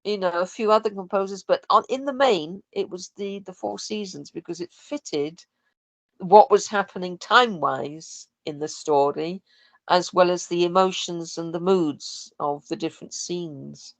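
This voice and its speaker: A woman in casual podcast conversation, with a slightly low voice. She speaks thoughtfully, and the sound is dry and close. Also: measured pace, dry recording. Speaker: podcast guest, sound and music designer for audio dramas. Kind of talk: casual chat.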